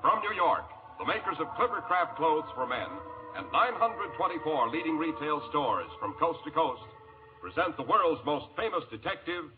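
A man's voice: a little high, lively intonation, normal speed. Muffled vintage American male radio announcer. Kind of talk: 1940s scripted radio announcing. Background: music.

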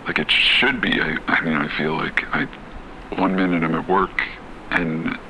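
Over faint hiss and noise comes an actor as a haunted, disoriented man with an extremely deep voice full of gravelly fry. He talks haltingly and broodingly, as if giving in to fate in a horror game tape. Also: fairly even intonation, sharp tone, full character voice, poor audio. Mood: confused, uneasy. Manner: halting, hesitant, brooding delivery with trailing repetitions. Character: disoriented, haunted man slipping into dreamy, fatalistic surrender. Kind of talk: horror game taped monologue.

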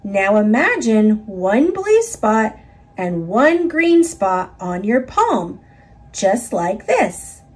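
Female storybook reader, slightly husky, very animated intonation, teaching young children. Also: mid-range voice, very slow. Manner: expressive, instructive delivery for young children. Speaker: picture book narrator. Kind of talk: read-aloud children's storytelling.

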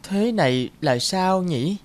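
A woman voicing a poor, freezing little girl in an animated story, plaintive and pleading. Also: lowish voice, slow pace. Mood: weary, cold. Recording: loud music behind the voice.

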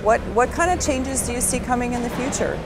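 A woman's voice: a little high, brisk, over music. A middle-aged woman asking conversational interview questions. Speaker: interviewer, publisher. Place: trade show booth, indoors. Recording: loud trade show floor noise.

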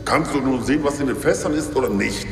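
A man's voice: mid-range voice, quick pace, slight background noise, close to an everyday voice. An actor playing a grumbling, complaining adult male sidekick. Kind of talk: audio drama acting. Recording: music bed and long reverb.

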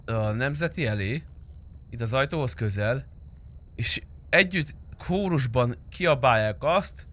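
Young male game streamer, forceful bright delivery, slangy and insistent roleplay negotiator, close mic. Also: medium pitch, lively intonation. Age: young adult. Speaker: streamer playing a robber in online roleplay. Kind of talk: casual improvised roleplay negotiation. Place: indoor streaming room. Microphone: close streaming microphone.

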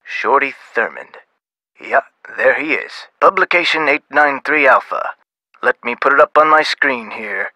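An actor voicing a dry, guarded but chatty government clerk in a video game phone conversation. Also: male voice, mid-range voice, animated, a little slow, sharp tone, no room sound, heavily acted, low-quality recording. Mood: businesslike.

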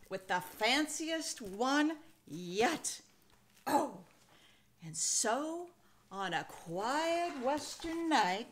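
An older American woman in her sixties reads a children's picture book aloud with expressive, folksy Western storytelling. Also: a little high, a little hiss or hum. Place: indoor room at home.

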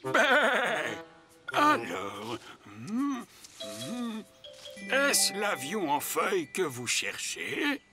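A man voicing a gentle, wise old goat in a children's cartoon, musing and humming between phrases. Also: high-pitched, huge pitch swings, full character voice. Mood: pondering. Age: older. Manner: slow, hesitant with hums and musing pauses. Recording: music behind the voice. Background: music.

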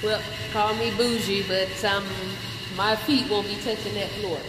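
A young woman truck driver chatting casually to her vlog camera, animated and talkative. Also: mid-range voice, a little slow. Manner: chatty, animated, addresses viewers as y'all. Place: hotel and truck cab. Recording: background noise.

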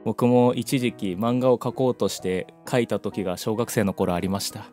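A man's voice: lowish voice, normal speed, a little mellow, faint music behind. A man co-hosting a talk show with a guest, playfully drawing out his announcements and chatting freely. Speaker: co-host of a voice actors' channel. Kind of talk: lively scripted-then-chatty hosting with a guest.

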